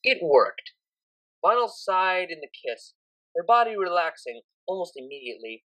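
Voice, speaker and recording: A woman's voice: fairly low pitch, big pitch swings, moderate pace, a bit bright, low-quality recording. A woman narrating a scripted story expressively, as an audiobook reader.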